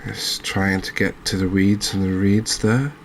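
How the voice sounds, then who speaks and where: A man with a low voice and very level intonation gives soft ASMR gameplay commentary. He sounds gentle and unhurried. Faint background ambience sits under his voice. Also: steady tone, slight background noise. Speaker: video game streamer.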